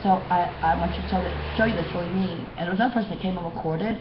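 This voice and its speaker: Hoarse woman rambling into a webcam. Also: on the low side, very fast, dull audio, noisy background. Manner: rambling, repetitive, many restarts. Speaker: vlogger. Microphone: webcam mic.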